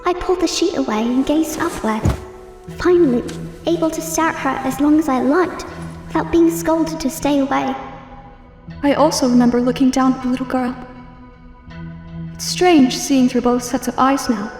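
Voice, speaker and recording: Woman playing a high-voiced sinister robot girl. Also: moderate pace, darkish tone, faint music behind, close to an everyday voice. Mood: wistful, eerie. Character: sinister robot girl, obsessive, yearning for a father's love. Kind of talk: comic dub voice acting.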